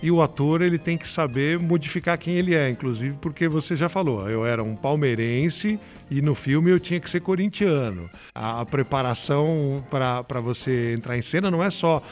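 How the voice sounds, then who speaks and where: A man's voice: a little high, moderate pace, few pauses, a little mellow, faint music behind. A man with a Brazilian accent hosts an interview, explaining things conversationally for listeners. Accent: Brazilian Portuguese. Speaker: host, interviewer.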